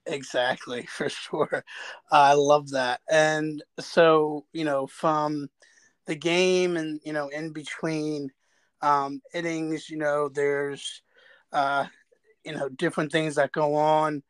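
A man's voice: fairly high pitch, flat delivery, slow, smooth, no room sound. A man conducting a casual interview, agreeable, with loosely phrased questions and comments.

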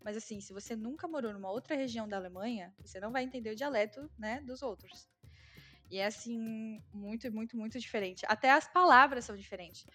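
Brief: Brazilian woman, casual chatty guest, slightly high voice, faint music behind. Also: brisk, a bit bright. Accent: Brazilian Portuguese. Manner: chatty, explaining with many fillers. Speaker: guest, Brazilian living in Germany. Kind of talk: casual conversation.

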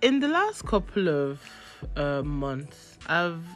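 A young Nigerian woman with a cold, losing her voice, hosting a podcast and telling a story in a chatty, animated way. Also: low voice, slow, soft background music. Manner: chatty, animated, acts out texts in quotes. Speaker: podcast host. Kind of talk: casual storytelling.

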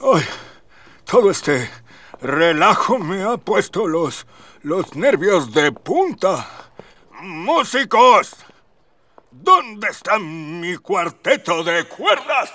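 A man with a Mexican accent playing a whimsical, easily flustered alien emperor in a comic radio drama, halting and repeating himself. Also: high-pitched, big pitch swings, bright, forceful tone, no room sound, exaggerated voice. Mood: flustered, impatient.

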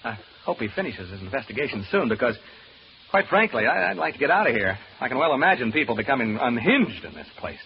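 An actor playing a nervous, rambling radio broadcaster alone in a haunted house, stammering through asides to listeners in an old radio horror play. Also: male voice, medium pitch, very animated, quick pace. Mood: jumpy. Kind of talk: old-time radio horror drama acting. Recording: muffled old radio recording, background hiss.

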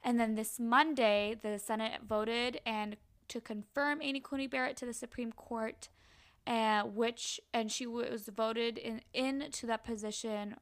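A woman's voice: a little high, steady tone, measured pace. A young woman student co-hosting a podcast, whose first language was Urdu, rambling casually and restarting her sentences. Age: young adult. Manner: rambling, restarts often, laughs easily. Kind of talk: casual chat.

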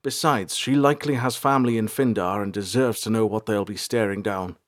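A man's voice: on the low side, fairly quick, no echo, clean studio sound, close to an everyday voice. A man voicing a casual, teasing and loyal male rogue in a fantasy audiobook. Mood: earnest. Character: gruff-living, teasing male rogue in leather jacket. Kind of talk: audiobook character dialogue.

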